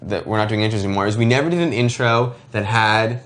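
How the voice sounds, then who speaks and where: A man's voice: fairly low pitch, fast talker, a bit bright. Enthusiastic American man co-hosting casual commentary. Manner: enthusiastic, slangy. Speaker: co-host of a web show. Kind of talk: casual commentary over video with co-hosts.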